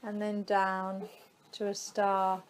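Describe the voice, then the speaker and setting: A woman leads a guided relaxation meditation at a very slow pace. Her delivery is soothing, with long pauses left between short phrases. The pitch sits in the middle range for a woman. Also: completely flat delivery, a little hiss or hum. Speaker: yoga nidra guide.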